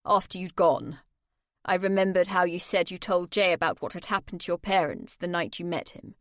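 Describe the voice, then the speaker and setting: A woman voicing an earnest young man who speaks gravely and steadily. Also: on the low side, moderate pace, no room sound, clean studio sound, lightly acted.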